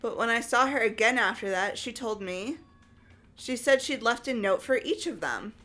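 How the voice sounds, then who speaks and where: A woman's voice: a little high, expressive, moderate pace, sharp tone, soft background music. A woman voicing an insistent, grieving teenage girl in a video game, speaking earnestly and emphatically. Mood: upset, regretful. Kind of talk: amateur video game voice acting.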